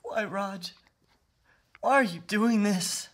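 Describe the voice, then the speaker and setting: A man's voice: sits high, slow pace, fairly bright tone. A man acting in an audio drama as a frightened, guilt-ridden young man, pleading and stammering with emotion. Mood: anguished, crying.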